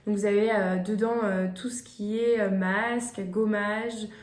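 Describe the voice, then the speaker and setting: A woman's voice: medium pitch, fairly even intonation, measured pace, a little mellow. A young woman vlogging gift ideas in casual chat to the camera, hesitant, with many fillers and restarts. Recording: echoey room.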